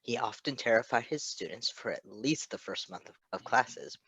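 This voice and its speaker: A man's voice: mid-range voice, animated, moderate pace, a bit bright, husky, dry recording. A man reading fan fiction aloud as a narrator, with casual asides.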